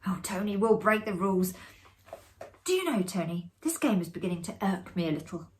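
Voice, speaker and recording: A woman's voice: medium pitch, sing-song, fairly quick, lightly acted. A woman voicing a sociable society lady in a ghost story, chatty, confiding and a little uneasy. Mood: confiding, weary.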